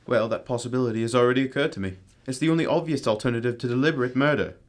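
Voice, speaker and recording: A man's voice: mid-range voice, racing, close to an everyday voice. A man playing a cool, exacting detective in an amateur radio drama, speaking in a formal, probing manner. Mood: probing, stern.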